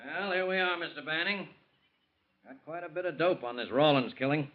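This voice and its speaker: An American actor playing a businesslike police captain in a 1940s radio drama, brisk and colloquial. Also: male voice, a little high, natural voice. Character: matter-of-fact, businesslike male police captain. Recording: muffled old radio broadcast.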